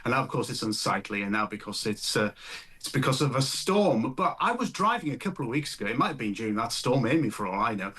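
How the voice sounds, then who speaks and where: A man's voice: mid-range voice, very animated, quick pace, a bit bright, husky. A man, a political campaigner, guesting on talk radio, indignant and ironic. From England. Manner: indignant, mock-shocked, ironic.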